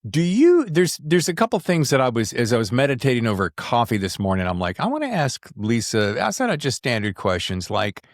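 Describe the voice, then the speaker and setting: Animated male podcast host, dry close sound. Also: mid-range voice, fairly quick, few pauses, dry recording. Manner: chatty, rambling, self-interrupting. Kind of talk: casual conversational interview.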